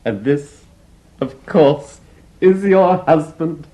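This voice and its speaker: An actor playing a fussy, effusive English parson in a stage farce, gushing, hesitant and over-polite. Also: male voice, on the high side, expressive, slow, warm tone. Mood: effusive, chatty. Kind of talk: theatrical farce acting.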